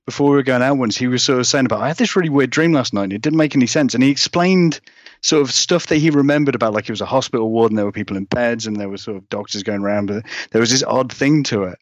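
A man's voice: medium pitch, fast, dry recording, muffled recording. A man chatting casually as an interviewed author, rambling and self-deprecating, with many hedges as he goes. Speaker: guest author being interviewed.

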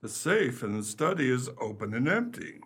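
A man's voice: mid-range voice, big pitch swings, normal speed, fairly bright tone, breathy edge. A man acting in a radio play as a plodding, deferential police detective, polite and methodical, with a hesitant delivery. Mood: businesslike.

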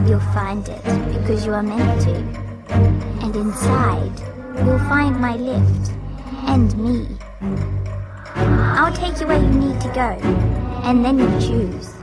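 Mysterious lift woman as acted, high girlish voice, slow teasing delivery, ominous, radio drama trailer, loud music. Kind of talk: audio drama trailer narration.

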